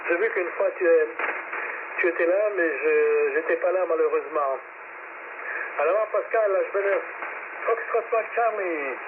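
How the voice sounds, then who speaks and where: A man's voice: on the high side, measured pace, pauses often. A man running an amateur radio net, talking hesitantly with many filler sounds and spelling out call signs. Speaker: net control operator of a ham radio net. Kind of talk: ham radio net chat, switching languages. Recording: tinny shortwave radio with static.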